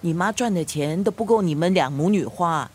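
A woman playing a gruff but loving grandmother of nearly seventy in a radio drama, with a scolding, grumbling manner. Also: on the low side, animated, moderate pace, a little mellow. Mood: stubborn grumbling. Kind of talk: Chinese radio drama acting.

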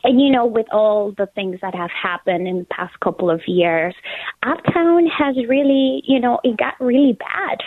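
A woman, heard over a tinny phone line. She talks about her business with eager energy, words tumbling out in quick bursts. The sound is dry and narrow. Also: fairly high pitch, lively intonation, no echo. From Colombia. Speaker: small business owner, guest. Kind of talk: phone interview.

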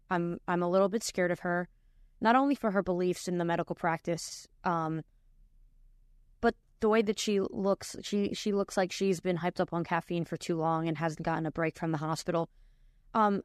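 A woman's voice: on the low side, moderate pace, clear, resonant tone, no echo, clean studio sound. A woman hosting a podcast, giving casual reaction commentary in a chatty way with lots of fillers and restarts. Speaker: podcast host.

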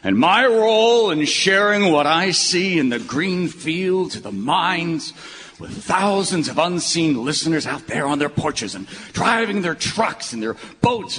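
Rambling old ballgame announcer, radio play, higher-pitched and very animated, grandiose and folksy. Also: male voice, exaggerated voice. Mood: wistful, grandiose. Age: older. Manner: grandiose, halting, folksy oratory. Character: rambling, sentimental old retired baseball broadcaster dad. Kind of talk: live radio play acting. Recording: echoey live hall, faint noise.

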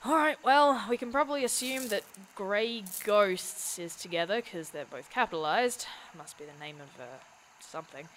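Actress doing snarky young monster hunter gal, bright edgy voice, really animated, blunt n slangy, audio drama. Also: female voice, medium pitch, moderate pace, a little hiss or hum, natural voice, rough recording. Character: sarcastic, brash young woman monster-hunting sidekick.

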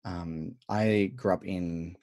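Deep-voiced chatty Australian man. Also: steady tone, slow pace, darkish tone. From central west New South Wales, Australia. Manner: chatty, upbeat, filler-heavy. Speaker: podcast host, drag performer. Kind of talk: casual podcast interview.

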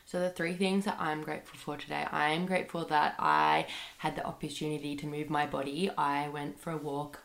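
A woman's voice: low-pitched, level tone, fairly quick, gravelly, a bit bright. Sick young woman podcasting. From Australia. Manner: chatty, rambling, lots of fillers like "like" and "um". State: sick with a bad cold. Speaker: podcast host. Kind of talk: casual solo podcast monologue. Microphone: computer microphone. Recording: echoey room.

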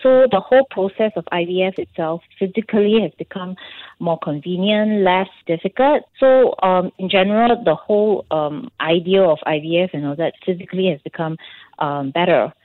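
A woman doctor with a Singaporean English accent explains things in a radio interview, pausing often with ums. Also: lowish voice, no echo. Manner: explanatory, with many ums and pauses. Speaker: fertility doctor guest. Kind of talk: radio interview on a phone line. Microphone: phone. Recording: tinny phone line, dry.

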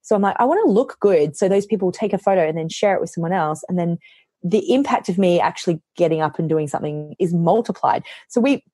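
A woman author, hoarse from losing her voice, chatting casually on a podcast and telling lively stories, often quoting herself. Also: lowish voice, fast talker, no echo, clean studio sound. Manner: animated storytelling, quotes herself.

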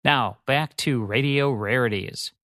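A man's voice: medium pitch, unhurried, a bit bright, dry recording. A man reading a scripted radio promo as the show's host.

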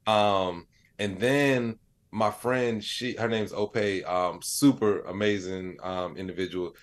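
A man's voice: fairly low pitch, fairly even intonation, takes it slowly. A man speaking as an interview guest, telling stories in a casual, rambling way with lots of "like" and "you know". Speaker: interview guest, comedian and AI storyteller. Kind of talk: casual conversational storytelling.

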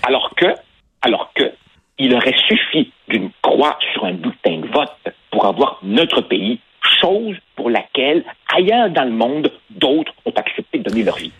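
A man's voice: mid-range voice, big pitch swings, a little slow, fairly bright tone, slightly rough, no echo, rough recording. A man whose first language is Spanish, speaking Quebec French as a passionate radio commentator who repeats words while building his points. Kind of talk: opinion talk on radio.

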